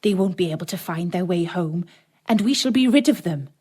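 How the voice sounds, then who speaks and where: A woman's voice: lowish voice, animated, brisk, darkish tone, slightly rough. A woman voicing a harsh, scheming stepmother in a children's storybook, bossy and emphatic. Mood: coolly scheming.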